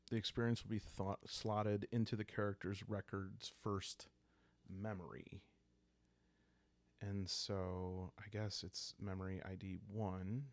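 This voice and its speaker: Very slow, deep, gravelly man. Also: no echo. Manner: calm, soft-spoken, halting. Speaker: solo roleplayer, host. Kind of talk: sleepy ASMR roleplay narration, improvised.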